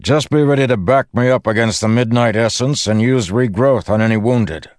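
A man voicing a brisk, businesslike armored knight in a fantasy audiobook, shouting in a clipped, direct manner. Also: medium pitch, no echo, studio quality, voice pushed up, close to an everyday voice. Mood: impatient, businesslike.

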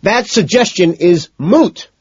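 A domineering young yuppie guy played by a male narrator in an audiobook. He is exasperated and sarcastic, with raised pitch and emphatic, stretched words, recorded dry. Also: sing-song, normal speed, husky, no echo, highs cut off. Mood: screaming, sarcastic.

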